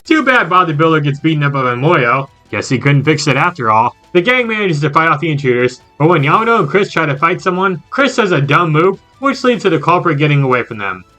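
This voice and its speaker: Male recap narrator, casual and sarcastic, bright tone, highly animated delivery, light music bed. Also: fairly quick, few pauses. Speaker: video game reviewer. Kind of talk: scripted recap narration with joking asides.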